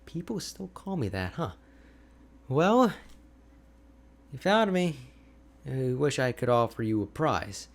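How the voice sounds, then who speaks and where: A man acts out an energetic male ice mage hermit for a fantasy ASMR roleplay. He is chatty and boastful, speaking at an unhurried pace in a mid-pitched male voice. The delivery is playful and expressive. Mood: playful welcome. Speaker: audio roleplay voice actor.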